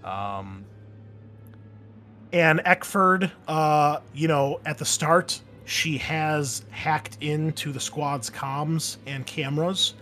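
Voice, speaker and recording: A man hosting as game master, explaining things casually and informally with a halting delivery. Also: mid-range voice, a bit bright. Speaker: game master and podcast host. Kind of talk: casual explanation and chat on an actual-play podcast. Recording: faint background noise.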